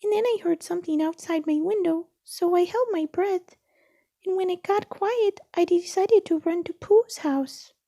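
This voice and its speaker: Woman voicing a high-pitched, flustered little piglet. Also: moderate pace, warm tone. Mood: flustered, nervous. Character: small anxious timid male piglet, storybook creature.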